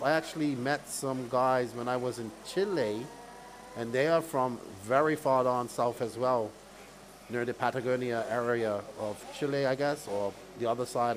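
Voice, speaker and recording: A male race commentator with a Bermudian accent chats casually to a live stream. His voice sits at a middling pitch. Background noise from the track is faintly audible behind him. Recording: clear background noise, outdoor event ambience.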